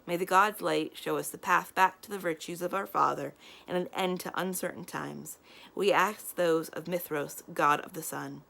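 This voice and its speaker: A woman's voice: on the low side, steady tone, normal speed, vocal fry, bright, forceful tone, heavily acted. A woman voicing a stern, traditional lord in a storybook, formally intoning in a declamatory manner. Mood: solemn. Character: solemn, old-fashioned lord leading a prayer.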